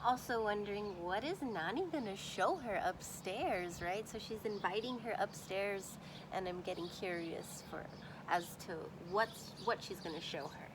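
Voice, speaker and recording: A woman teacher reading a picture book aloud to children expressively, pausing to think aloud and pose questions. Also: mid-range voice, noisy background.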